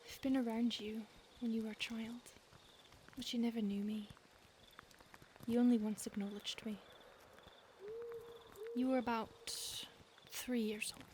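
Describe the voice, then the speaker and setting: A woman voicing a centuries-old female vampire stalker in an audio roleplay, speaking softly and often in a whisper, calm and menacing. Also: fairly high pitch, natural voice. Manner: soft, intimate, often whispered. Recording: noisy background hiss.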